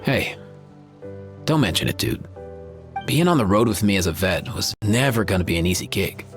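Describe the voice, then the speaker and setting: A man's voice: on the low side, expressive, normal speed, close to an everyday voice. A man playing an easygoing, sincere young creature veterinarian in a video game, speaking in casual slang. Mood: somber, sincere. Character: laid-back, caring young male creature veterinarian. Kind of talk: video game character acting. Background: faint music.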